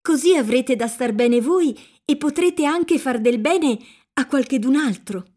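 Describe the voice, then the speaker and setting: A woman voicing a devout, tearful young village woman who speaks gently and pleadingly. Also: high voice, lively intonation, a little slow, pitched above the performer's own voice. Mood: hopeful. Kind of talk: audiobook character reading.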